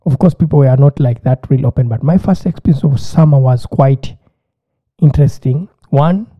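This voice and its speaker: A man, chatting casually as a podcast co-host and telling stories in an animated way, often repeating words. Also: medium pitch, moderate pace. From Uganda. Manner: animated storyteller, repeats words, acts out his own reactions. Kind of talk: casual chat. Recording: tinny phone-like line.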